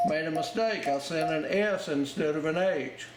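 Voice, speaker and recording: A man giving an informal ham radio lesson, talking in halting pieces as he explains a demonstration step by step. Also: fairly high pitch, loud music behind the voice. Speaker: amateur radio instructor. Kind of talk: informal instructional narration over a demonstration. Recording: roomy, loud noise, radio clicks and tones.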